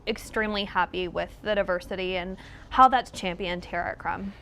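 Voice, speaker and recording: A woman's voice: medium pitch, steady tone, normal speed, a bit bright, slight background noise, low-quality recording. A woman in a candid interview, speaking thoughtfully and openly.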